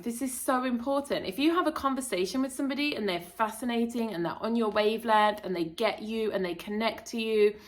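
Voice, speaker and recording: A woman hosting her own channel, talking casually and earnestly to camera about spiritual self-improvement, rambling a little with fillers and self-corrections. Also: a little high. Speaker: channel host.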